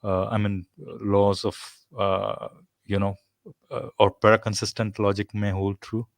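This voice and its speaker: Host: low-pitched man, measured debate talk, dry close sound. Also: flat delivery, vocal fry, no room sound. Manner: measured, frequent "right?" and "basically". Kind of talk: live-stream debate talk.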